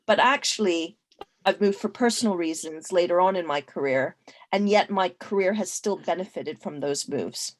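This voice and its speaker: A woman's voice: lowish voice, normal speed. Thoughtful Canadian-born woman panelist. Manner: thoughtful, with frequent fillers and restarts. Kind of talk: casual panel discussion.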